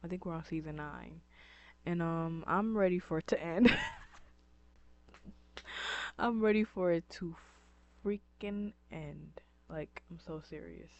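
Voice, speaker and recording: A young woman with a dry, scratchy throat chats to her camera in an unhurried, meandering way, filling pauses with ums and likes. The sound is dull and muffled. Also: on the low side. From upstate New York. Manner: rambling, lots of ums and likes. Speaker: vlogger. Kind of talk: casual chatty vlog. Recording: dull lo-fi recording.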